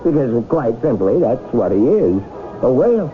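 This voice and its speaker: An actor playing a skeptical, secretive, stubbornly literal husband with dry wit and formal wording, in 1970s radio drama style. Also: male voice, mid-range voice, sing-song, normal speed, natural voice. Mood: dry, needling. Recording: tinny old radio broadcast, music behind.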